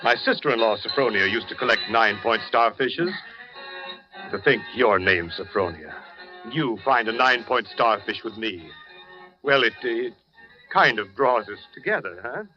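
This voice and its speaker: An actor playing a folksy, blunt, sinister middle-aged hotel owner in a 1940s radio drama. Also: male voice, fairly low pitch, animated, moderate pace, lightly acted. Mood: coaxing. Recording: dull 1940s radio recording, music.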